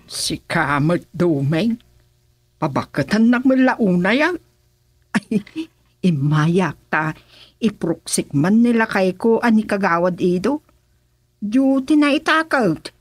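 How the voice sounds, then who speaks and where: A woman's voice: medium pitch, lively intonation, takes it slowly, no room sound. An actress with an Ilocano Filipino accent playing a councilman's flustered wife, hesitant and whining in protest, in a comedy radio drama. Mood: complaining.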